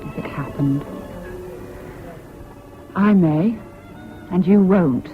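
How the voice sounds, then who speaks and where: A woman's voice: on the low side, extremely slow. An actress playing an emotional, proud young Englishwoman in wartime in a 1970s British radio drama. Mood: distraught, bitter. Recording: dull old radio recording, music behind.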